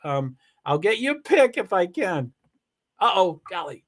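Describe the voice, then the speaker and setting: A man streaming live from home, chatting casually and rambling excitedly with lots of exclamations and restarts. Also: high voice, a little slow. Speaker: live streamer reading chat. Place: home in Washington State.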